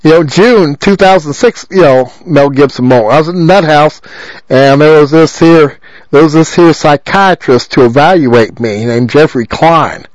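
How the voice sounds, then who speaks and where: A man with a loud voice, a political candidate telling a long rambling story with many repeats and fillers. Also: on the high side, normal speed, no echo, dull audio. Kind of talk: rambling monologue.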